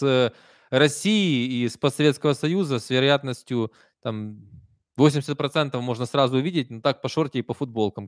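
A man in his thirties with a Kyiv accent talks conversationally into a close desk mic, leaning into certain points and then dropping to softer asides. His voice sits at an ordinary middle pitch. The room sounds dry, with no echo. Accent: Kyiv Russian. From Ukraine. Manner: conversational, emphatic, soft-spoken asides. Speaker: streamer reading viewer comments. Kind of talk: live stream chat. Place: small room indoors. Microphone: desk stand mic close up.

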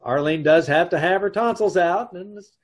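A man's voice: sits high, moderate pace. A man acting an exasperated, sarcastic husband who also narrates in an old-time radio comedy, recorded over a video call. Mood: incredulous. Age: middle-aged. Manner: dry, incredulous, blustering. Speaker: amateur radio troupe actor. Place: home room, on a video call.

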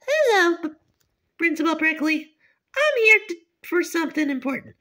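A woman acting an indignant, complaining character in an amateur school cartoon story, with a whiny, halting delivery. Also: extremely high pitch, very animated, sharp tone, exaggerated voice. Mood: aggrieved. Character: indignant, tattling schoolteacher and wronged young bear pupil. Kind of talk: amateur role-played children's cartoon story.

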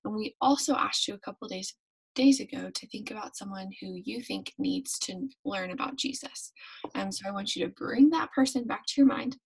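A woman's voice: medium pitch, moderate pace, gravelly, slightly rough, poor audio. A young woman leading a church devotional from her bedroom, telling a Bible story in a friendly way with many ums, on a webcam. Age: young adult. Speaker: devotion leader. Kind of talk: youth devotional teaching. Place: bedroom at home. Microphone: webcam at desk.

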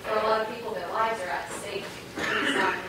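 A woman's voice: mid-range voice, fairly even intonation, fairly quick. A woman in the audience asking a question at a public lecture in a large hall. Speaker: audience member. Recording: echoey, distant, very noisy hall.

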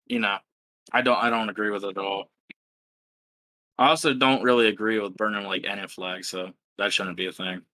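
A blunt, profane man in his early twenties talks casually on a podcast. His voice is lowish but bright and forceful, recorded dry and close. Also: steady tone, a little fast, no room sound. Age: 20s. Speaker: podcast host. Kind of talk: casual chat.

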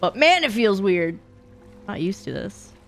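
A woman's voice: mid-range voice, sing-song, unhurried, faint music behind. A woman streamer giving casual, playful live gameplay commentary, chatting along and answering her viewers. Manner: chatty, playful, reading and answering chat aloud.

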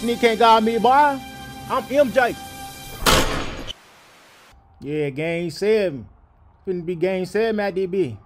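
High-pitched, brash man doing sports parody. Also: expressive, slow pace, long pauses, over music. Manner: slangy, brash trash talk. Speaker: voiceover comedian. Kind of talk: comedic sports parody voiceover.

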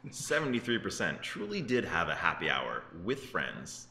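Low-voiced, bright man chatting in a roomy space. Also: wildly swooping pitch, normal speed, gravelly. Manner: self-interrupting, conversational, seeks agreement with "right?". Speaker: co-host of a tech news show. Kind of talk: casual chat about tech news. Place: open-plan office, indoors on a couch. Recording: roomy, echoey office, faint background noise.

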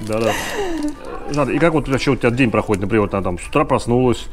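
A man interviewing a guest in a relaxed chat, prompting questions with many filler words. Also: medium pitch, rapid-fire, crowd noise. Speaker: interviewer, channel host. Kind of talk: casual interview.

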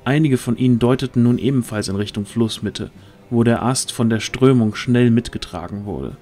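A man's voice: mid-range voice, moderate pace, dark tone. A man narrating a fantasy audio drama as a scripted storyteller. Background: music.

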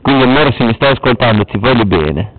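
A man's voice: mid-range voice, lively intonation, fast talker. A young man jokingly hosting a mock interview in a late-night home video, laughing often and putting on an announcer tone. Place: room at night. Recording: tinny lo-fi home video with loud hiss.

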